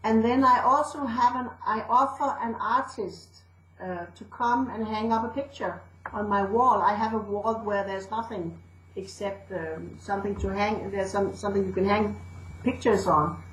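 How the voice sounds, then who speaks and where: A woman's voice: medium pitch, steady tone, normal speed, a bit bright, reverberant, a little hiss or hum, rough recording. A woman from Denmark with a Danish accent, a storyteller and educator chatting in an interview with fillers and restarts. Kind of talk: casual interview talk.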